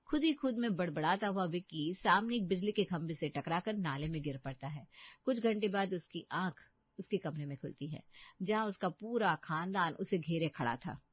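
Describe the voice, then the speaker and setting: A woman's voice: lowish voice, animated, moderate pace, dry recording. Woman storyteller, dry and slightly muffled. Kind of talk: scripted dramatic story narration.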